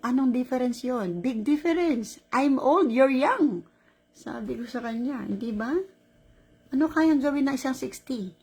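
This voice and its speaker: A Filipino woman in her sixties tells a story in a relaxed vlog, full of laughs and quick asides. Her voice sits a little high and has a husky, breathy edge. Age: 60s. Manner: animated, laughing, mixes Tagalog and English. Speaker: caregiver.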